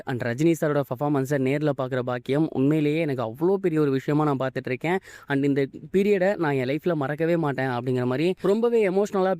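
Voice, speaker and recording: A man's voice: a little high, darkish tone, clean tone, no echo, studio quality. A man presenting scripted celebrity news as an online entertainment presenter.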